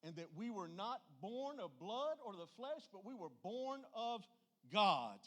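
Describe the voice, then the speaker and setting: A man's voice: high voice, very animated, measured pace. A man with a Southern US accent preaching a church sermon in a folksy, plainspoken and emphatic way.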